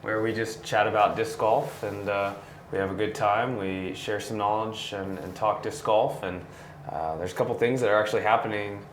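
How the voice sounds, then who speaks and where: A man in his twenties hosts an easygoing chat in a fairly low voice. The room is echoey, with a slight wash of noise behind him. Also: fairly even intonation, normal speed. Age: 20s. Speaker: host. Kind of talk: casual chat. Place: indoor training space. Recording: roomy echo, noticeable background noise.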